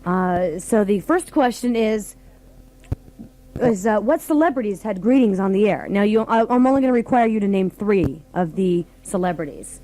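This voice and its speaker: A woman's voice: mid-range voice, expressive, moderate pace, warm tone, low-quality recording. An American woman hosting a live radio show, chatting casually with callers. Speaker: radio morning show host. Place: radio studio.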